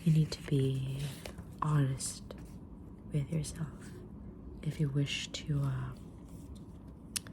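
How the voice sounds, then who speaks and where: A woman playing a kind, mysterious tarot-reading witch in a gentle, breathy whisper for an ASMR roleplay. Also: extremely low pitch, little pitch movement, full character voice. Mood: knowing, soothing. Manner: gentle, deliberate, soft-spoken, drawn-out pauses.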